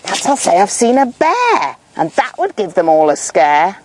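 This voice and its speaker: A woman's voice: medium pitch, huge pitch swings, moderate pace, a little mellow, a little hiss or hum. A woman voicing a bored, mischievous young shepherd boy in a storybook, with exaggerated, dramatic delivery. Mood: scheming. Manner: exaggerated, dramatic calling and complaining.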